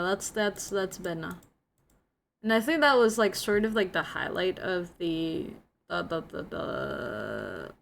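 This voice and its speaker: A woman's voice: on the low side, gravelly, fairly bright tone. Young indonesian woman streamer, chatty and giggly, lots of "like", faint hiss behind. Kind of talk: casual chat with viewers.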